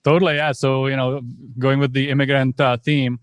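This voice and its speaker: A man in his forties with a Czech accent, telling his story in a relaxed, casual way as a podcast guest. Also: mid-range voice, moderate pace, clean tone, no room sound. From Czech Republic. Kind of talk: conversational storytelling.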